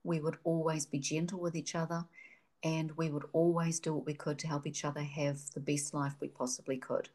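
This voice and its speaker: A woman's voice: low-pitched, flat delivery, brisk, a little mellow. A woman from New Zealand with a New Zealand accent, hosting an interview conversation and asking questions in an effusive, enthusiastic way. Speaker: podcast host.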